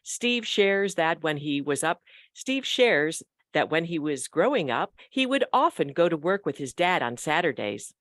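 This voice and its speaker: A woman's voice: deep voice, big pitch swings, a little slow, a bit bright, no room sound. A woman announcer reading a scripted sponsor message. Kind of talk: scripted narration.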